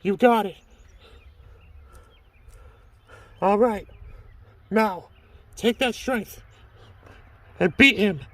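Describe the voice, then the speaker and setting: A shrill urgent spirit ally. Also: male voice, takes it slowly, a bit bright. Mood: encouraging. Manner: urgent, pleading. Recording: distant mic, faint background noise.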